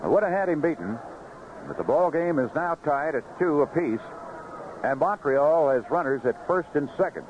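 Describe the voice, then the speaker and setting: A man's voice: fairly high pitch, lively intonation, normal speed. An American man calling live baseball play-by-play on the radio. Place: ballpark broadcast booth. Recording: noisy, muffled vintage AM radio broadcast.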